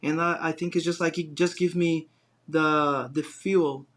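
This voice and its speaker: A man's voice: a little high, steady tone, a little slow, fairly bright tone. A man from Brazil with a Brazilian Portuguese accent, a guest talking casually and rambling with many fillers and restarts. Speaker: guest, design business owner. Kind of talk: casual interview chat.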